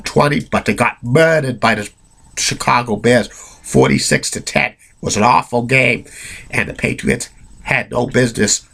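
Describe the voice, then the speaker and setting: A man's voice: medium pitch, vocal fry, a bit bright, breathy edge. A man with a cold telling sports history off the cuff, rambling with many restarts and blunt opinions. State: has a cold. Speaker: amateur sports history narrator. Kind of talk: unscripted solo narration.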